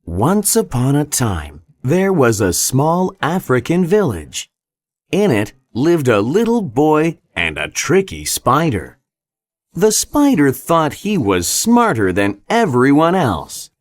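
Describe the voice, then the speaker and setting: A man narrating a children's read-along story in a careful, expressive way. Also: fairly high pitch, slow pace, no room sound.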